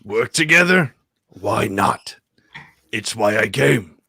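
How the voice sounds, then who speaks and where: A man acting a space rogue with a husky, gravelly voice and drawn-out delivery in a comedic script read. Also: fairly low pitch, expressive, sharp tone, no echo, clean studio sound, exaggerated voice. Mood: sly.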